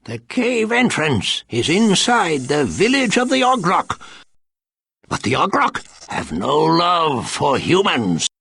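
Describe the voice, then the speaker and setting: An actor playing a theatrical old mystic sage in a fantasy video game, raspy and given to drawn-out, cryptic pronouncements. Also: male voice, fairly high pitch, huge pitch swings, a bit bright, full character voice. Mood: ominous, cryptic. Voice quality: gravelly, raspy.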